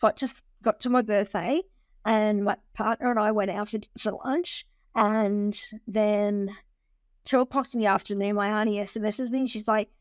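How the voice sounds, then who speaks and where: A woman in her forties chats as an interview guest, her voice at an ordinary middle pitch. She tells stories with lively energy, laughing and restarting her sentences. The sound is dry and close. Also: moderate pace, no echo. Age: 40s. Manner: animated storyteller who laughs often and restarts sentences. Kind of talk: casual conversational interview.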